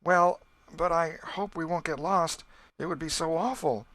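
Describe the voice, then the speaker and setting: A man's voice: on the high side, a little slow, a bit bright, pitched above the performer's own voice. A man voicing an anxious young girl in a storybook, timid and pleading. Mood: fearful.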